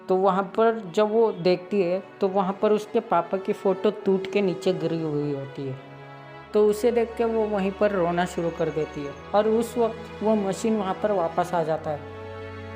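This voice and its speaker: A teenage boy with a sore, slightly hoarse throat, talking casually to his viewers and retelling a cartoon plot. He leans on chatty fillers as he goes. Faint music plays underneath. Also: high voice, darkish tone. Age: late teens. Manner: chatty, full of "matlab" fillers. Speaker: YouTube channel host. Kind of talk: casual cartoon episode recap.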